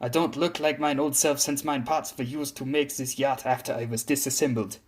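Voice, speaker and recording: A man's voice: mid-range voice, fairly even intonation, moderate pace, close to an everyday voice. A man voicing an arrogant, impatient female spirit of a German warship in a story, with a German accent. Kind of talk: dramatised story reading.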